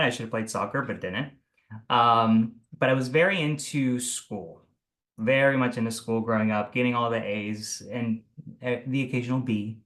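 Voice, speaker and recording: A man's voice: mid-range voice, a bit bright. A man in his thirties from Italy, a real estate agent telling his life story in a casual video call interview, rambling and laughing as he goes. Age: 30s. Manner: rambling, self-correcting, laughs often.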